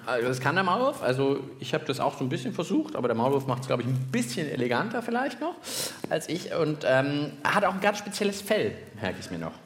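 A man co-hosting a live science podcast for children, chatting in an informal, animated way. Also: on the high side, a little fast, few pauses. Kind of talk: casual chat on a live children's show. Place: indoor live podcast festival stage. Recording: echoey live venue, faint noise.

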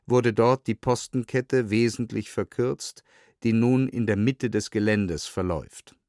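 A man reading historical documents as scripted audio narration, in a measured, sober and precisely enunciated way. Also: fairly low pitch, darkish tone, no room sound, studio quality. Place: dry studio.

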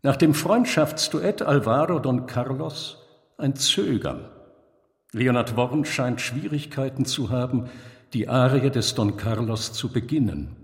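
Male narrator, mid pitch, scripted biographical storytelling, deliberate and dramatic, long reverb. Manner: deliberate, dramatic phrasing with pauses.

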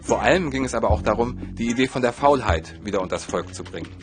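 Guy narrating his own life story, reads fast, music under it. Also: mid-range voice, animated. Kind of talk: scripted autobiographical narration.

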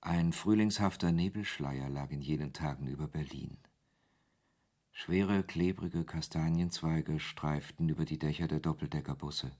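Very deep, husky male narrator. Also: normal speed, a little mellow. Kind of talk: scripted literary narration in a radio drama.

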